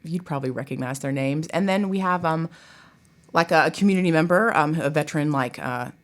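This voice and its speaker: Chatty woman with a low-pitched voice. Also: brisk. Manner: informal, chatty, laughs easily. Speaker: theatre director, podcast guest. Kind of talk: conversational interview answers.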